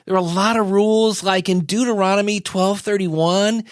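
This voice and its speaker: An easygoing, modern-day messiah played by a man chats as a comedy podcast guest. His voice is notably high for a male, with a folksy, hesitant, conversational delivery like a small-time marketer. The sound is very dry. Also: steady tone, normal speed, no room sound, lightly acted. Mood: casual explaining. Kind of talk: casual comedic interview chat.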